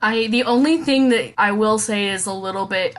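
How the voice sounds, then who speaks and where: A woman's voice: mid-range voice, normal speed. Blunt, laughing woman in her forties. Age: 40s. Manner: blunt, swears freely, laughs often. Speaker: podcast co-host. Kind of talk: casual chat.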